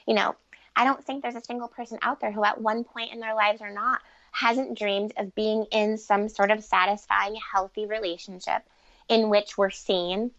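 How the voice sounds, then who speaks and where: Female relationship expert, mid-pitched with noticeable vocal fry, earnest and fluent in interview; dry but dull sound. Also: fairly bright tone, no echo. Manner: earnest, fluent, long explanations. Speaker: guest relationship expert and author. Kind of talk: conversational explanatory interview answers.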